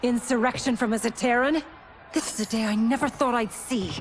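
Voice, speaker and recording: An actress playing a haughty, indignant young royal warrior in a video game, scornful and formal. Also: female voice, on the high side, a little fast, over loud music, lightly acted.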